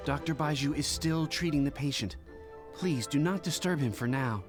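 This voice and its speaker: An actor voicing a polite, formal young apprentice pharmacist in a video game. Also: male voice, on the high side, normal speed, over music, close to an everyday voice.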